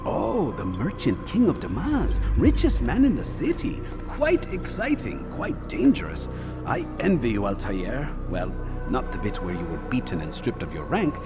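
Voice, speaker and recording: An actor playing a sardonic, theatrical bureau keeper in a video game, with arch, teasing, drawn-out phrasing. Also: male voice, medium pitch, huge pitch swings, over music. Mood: gleefully mocking. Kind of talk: video game cutscene acting.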